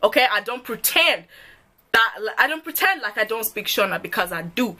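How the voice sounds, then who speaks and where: A woman's voice: a little high, big pitch swings, normal speed, bright, forceful tone. Chatty young Zimbabwean woman vlogger. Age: young adult. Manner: chatty, playful, laughs often. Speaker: YouTuber. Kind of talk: get-to-know-me vlog.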